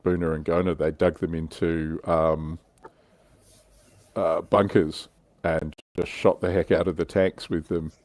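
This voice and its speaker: A man's voice: low-pitched, flat delivery, a little slow, a little mellow, no echo. A man hosting a casual hobby panel chat. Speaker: livestream host presenting slides.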